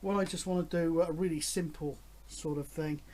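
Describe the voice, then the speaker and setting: A man's voice: fairly high pitch, fairly even intonation, moderate pace, a little mellow, a little hiss or hum. A man in his fifties talking casually and enthusiastically as a hobby vlogger, rambling along with frequent hesitations. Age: 50s. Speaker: host of a radio hobby vlog. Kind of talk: casual, rambling chat to camera.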